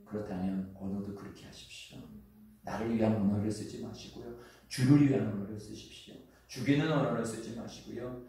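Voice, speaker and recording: A man's voice: mid-range voice, warm tone. A man with a Chungcheong accent preaching a sermon as pastor in a church, talking earnestly and conversationally and drawing out his sentence endings. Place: church sanctuary. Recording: echoey church hall from far off.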